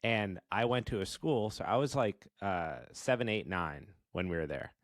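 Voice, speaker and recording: Deep voiced dude hosting a podcast, chill and slangy, dry sound. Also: male voice, moderate pace, dry recording. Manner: relaxed, slangy. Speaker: podcast host. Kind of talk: casual podcast conversation.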